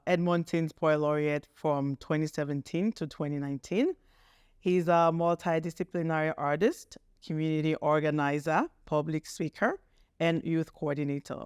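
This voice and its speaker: A woman's voice: low voice, darkish tone, clear, resonant tone, no room sound, clean studio sound. A woman hosting an interview podcast, introducing and addressing people in an enthusiastic, effusive way. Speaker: podcast host.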